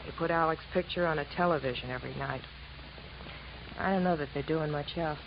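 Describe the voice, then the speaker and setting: A woman's voice: deep voice, brisk, creaky. A woman playing a jaded, tough-talking young wife in 1950s radio detective drama, blunt, sardonic and world-weary. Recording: muffled, noisy vintage radio recording.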